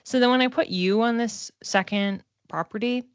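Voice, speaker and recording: A woman giving a casual one-to-one card reading as a psychic, talking conversationally and haltingly, circling back over phrases as she thinks aloud. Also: on the high side, clean tone, dry recording, muffled recording. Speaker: psychic reader.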